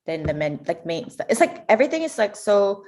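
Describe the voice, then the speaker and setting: A young woman from Macau co-hosting a podcast, chatty and animated, full of fillers like "like" and "you know". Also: mid-range voice, fairly quick. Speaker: podcast co-host. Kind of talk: casual chat.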